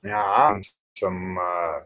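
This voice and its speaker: Middle-aged man, very slow folksy rambling, mid pitch, casual livestream chat, muffled lo-fi sound. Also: steady tone. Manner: folksy, rambling, salty-tongued. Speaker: livestream host. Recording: dull lo-fi livestream audio.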